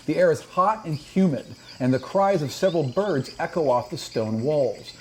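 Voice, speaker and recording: A man's voice: fairly high pitch, animated, normal speed, a little hiss or hum, low-quality recording. A man acting as game master, narrating a fantasy tabletop game with descriptive storytelling and casual table talk.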